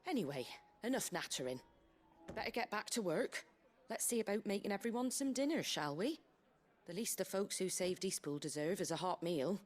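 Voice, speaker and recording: A woman playing a brisk, plain-spoken British innkeeper in a video game, earthy, colloquial and wry, tough but kindly. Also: mid-range voice, animated, lightly acted. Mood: brisk, cheerful. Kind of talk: video game character dialogue. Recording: game music behind the voice.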